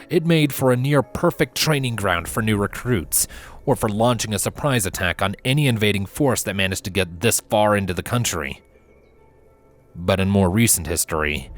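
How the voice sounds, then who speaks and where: Low-voiced man narrating a fantasy story over music. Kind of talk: dramatic scripted story narration.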